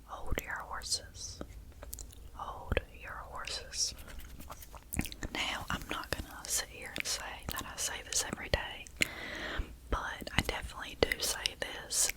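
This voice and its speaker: A young woman with a Southern US accent, whispering softly to her subscribers in an ASMR video. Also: low-quality recording. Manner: soft unvoiced whisper, slow and drawn out. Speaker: YouTuber.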